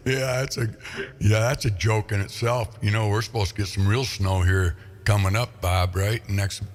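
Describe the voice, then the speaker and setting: A man's voice: on the low side, steady tone, moderate pace. A man hosting a radio chat, easygoing, laughing a lot and asking rambling questions. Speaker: radio host.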